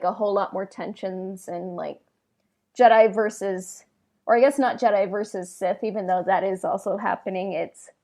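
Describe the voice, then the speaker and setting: A woman's voice: mid-range voice, a little mellow. A woman co-hosting a casual podcast discussion, informal and thinking aloud in fragments. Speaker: podcast co-host.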